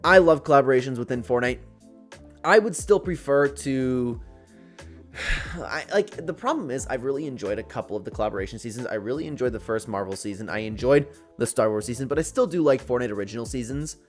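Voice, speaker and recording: A man's voice: mid-range voice, expressive, brisk, soft background music. A young man giving casual, chatty YouTube-style gaming commentary, talking informally to his viewers. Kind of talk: casual commentary reacting to read-out viewer posts.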